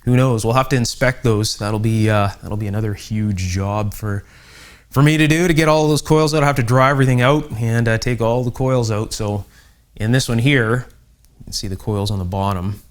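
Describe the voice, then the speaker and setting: A man giving an unhurried, methodical hands-on electronics repair demonstration, with conversational asides as he explains each step. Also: mid-range voice. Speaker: host, electronics repair instructor. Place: indoor electronics workshop.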